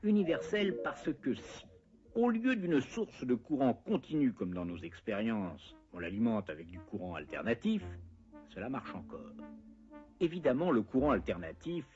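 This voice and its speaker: A man's voice: medium pitch, lively intonation, normal speed, darkish tone, highs cut off, faint music behind. A man narrating a scripted educational cartoon in a wry, conversational way, explaining step by step with playful asides.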